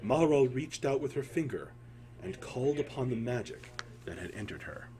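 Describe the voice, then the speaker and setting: A man telling a fantasy story in a solemn, theatrical way, with deliberate phrasing and dramatic pauses. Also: medium pitch, animated, dark tone, low-quality recording.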